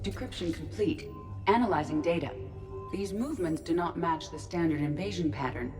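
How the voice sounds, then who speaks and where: A female artificial intelligence, played by a woman from a sci-fi video game. Her voice sits a little low and stays measured, exact and matter-of-fact. Music is clearly present behind her. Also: natural voice. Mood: calm, analytical. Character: calm, precise female ship's artificial intelligence.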